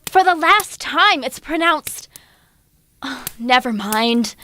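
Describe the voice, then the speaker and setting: A woman's voice: high-pitched, lively intonation, slow pace, sharp tone, exaggerated voice. A woman playing a clever young bride-to-be in a theatrical audio drama. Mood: exasperated, fond.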